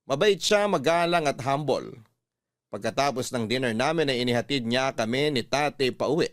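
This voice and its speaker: A man's voice: medium pitch, animated, moderate pace, a bit bright, clean studio sound. Male storyteller with echoing voice. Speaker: radio drama narrator. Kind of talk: scripted first-person story narration.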